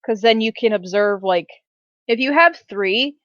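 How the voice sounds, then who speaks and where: A woman's voice: medium pitch, unhurried, a bit bright, dry recording. A woman role-playing at a tabletop game in casual, playful table talk, on a dull recording.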